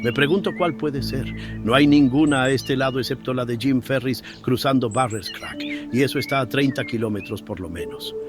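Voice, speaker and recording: A man's voice: medium pitch, moderate pace, hardly any pauses, music in the background, pitched above the performer's own voice, close to an everyday voice. A man voicing a kindly, gossipy middle-aged farmer with drawling rustic speech, in a horror audiobook. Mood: puzzled. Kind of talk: dramatized horror audiobook dialogue.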